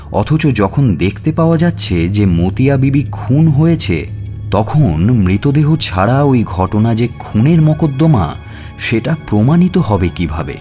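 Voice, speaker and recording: A man narrating a scripted suspense story in a measured, expressive, dramatic way. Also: medium pitch. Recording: music bed under narration.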